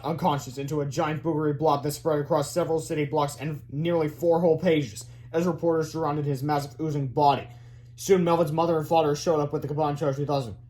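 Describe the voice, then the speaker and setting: A man reading a children's book aloud as an expressive storyteller. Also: on the high side. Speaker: read-aloud reader.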